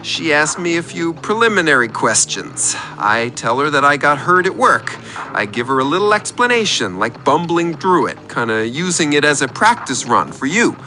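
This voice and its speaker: Comedy radio caller, male actor: higher-pitched awkward injured man, sheepish oversharer, very animated, light music behind. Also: normal speed, low-quality recording. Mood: sheepish, confiding.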